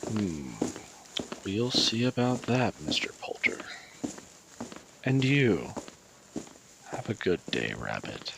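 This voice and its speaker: Man acting as a soft-spoken, menacing asylum doctor, slow and gravelly. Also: fairly low pitch, big pitch swings, background noise, full character voice. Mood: cold authority. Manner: polite, measured, veiled threats. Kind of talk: audio roleplay acting.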